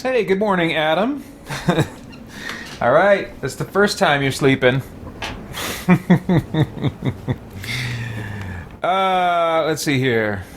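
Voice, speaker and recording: Male let's-player, in-character gamer, slightly high pitch, halting theatrical delivery, noticeable background noise. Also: animated. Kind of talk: role-play video game let's play.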